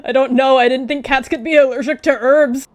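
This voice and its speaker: A woman's voice: high-pitched, steady tone, a little fast, pitched above the performer's own voice, natural voice. A woman voicing a vengeful medicine cat who feigns innocent, sweet concern in a game roleplay. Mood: feigned panic. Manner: falsely sweet, forced purr.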